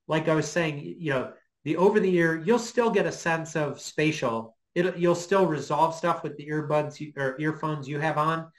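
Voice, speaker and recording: A middle-aged man giving an informal lecture on a video call, explaining in a hesitant, halting way as he thinks aloud. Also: fairly high pitch, fairly bright tone, low-quality recording. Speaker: guest lecturer. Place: small home office, indoors.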